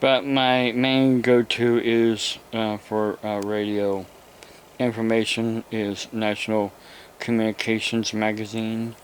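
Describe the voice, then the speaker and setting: An American man hosting an informal, unscripted hobby vlog, talking in a halting, rambling way with long pauses. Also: on the low side, monotone, slight background noise. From United States. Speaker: YouTube radio hobby host.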